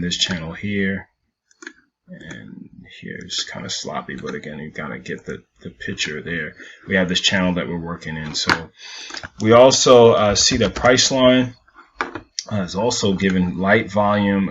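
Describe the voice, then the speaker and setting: A man's voice: deep voice, moderate pace, fairly bright tone, highs cut off, a little hiss or hum. A man from Jamaica giving a casual stock market recap over charts, rambling and repeating himself.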